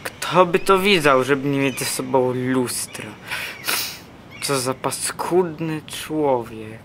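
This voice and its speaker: A man's voice: medium pitch, slow, background noise. A young man acting a vain, haughty, ungrateful character, Pride, in an amateur radio play. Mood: indignant. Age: young adult.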